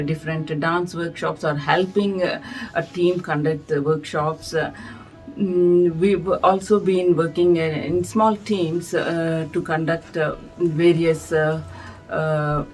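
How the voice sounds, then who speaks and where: Low-voiced woman, thoughtful and hesitant. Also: darkish tone, poor audio. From India. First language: Telugu. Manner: thoughtful, hesitant with many fillers. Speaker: guest, music society director. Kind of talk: interview answers.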